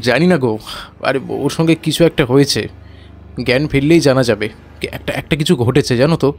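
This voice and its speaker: An actor playing a concerned friend, urgent and coaxing, in a dramatised audio story. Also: male voice, mid-range voice, normal speed, close to an everyday voice. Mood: puzzled, worried. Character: concerned male friend calming a terrified man.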